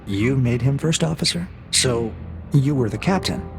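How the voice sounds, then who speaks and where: Audio drama AI interrogator, played by a man: mid-pitched, gravelly edge, cold, measured, quietly mocking. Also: lively intonation, soft background music. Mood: clinical, needling. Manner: measured, formal, deliberate, needling with questions. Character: cold, probing male AI interrogator, calm and mocking. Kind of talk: science fiction audio drama acting.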